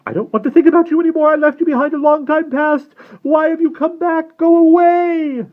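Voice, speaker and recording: A man voicing a cruel, desperate vampire dark angel in a dramatic story reading, shrill and frantic. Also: animated, unhurried, phone-quality sound. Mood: frantic, anguished. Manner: shouting, shrill, wildly swinging between coaxing and frantic commands. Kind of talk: dramatic audiobook-style character reading.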